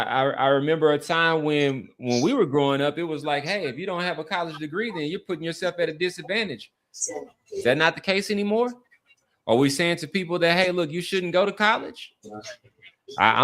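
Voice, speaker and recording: A middle-aged American man hosting a casual sports discussion, speaking haltingly and repeating words for emphasis. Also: a little high, sharp tone. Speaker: sports podcast host.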